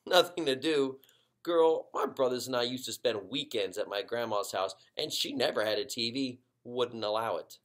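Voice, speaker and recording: A man voicing an easygoing, gently bossy family dad with a folksy, emphatic way of talking in a children's story. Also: mid-range voice, moderate pace, natural voice. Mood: nostalgic, amused.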